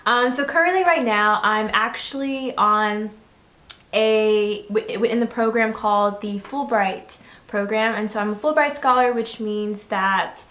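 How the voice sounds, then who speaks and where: A woman's voice: a little high, unhurried, fairly bright tone. A young woman, chatting casually and a little hesitantly as an interview guest. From born in DR Congo, grew up in the United States. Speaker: interview guest, English teacher. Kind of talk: casual conversational interview answers. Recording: echoey room sound.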